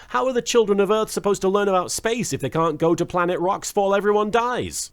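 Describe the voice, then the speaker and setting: Animated, higher-pitched man reviewing a show. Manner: wry, joking asides with casual swearing. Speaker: host of a watch-along review. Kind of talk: casual humorous review commentary.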